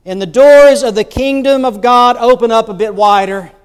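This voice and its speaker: A man's voice: very high-pitched, moderate pace, clean tone. A man preaching a sermon as bishop, telling the story in a conversational way with rhetorical asides. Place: church sanctuary. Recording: long church reverb.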